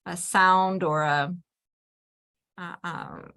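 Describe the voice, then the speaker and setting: A woman who teaches adult English learners, offering practical classroom advice in a relaxed discussion with other teachers. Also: fairly low pitch, creaky. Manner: measured, practical advice with fillers. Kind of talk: casual discussion among teachers.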